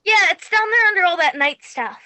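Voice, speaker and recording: A woman voicing a fretful, fussy older sister in a play reading, quick and worried. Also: extremely high pitch, animated, bright, forceful tone, no echo, pitched above the performer's own voice, full character voice.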